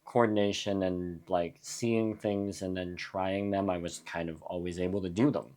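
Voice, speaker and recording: A young adult man from New Mexico, a musician guest in a casual interview, talking in a reflective, halting way with frequent restarts. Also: low-pitched, fairly even intonation, darkish tone. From USA (New Mexico).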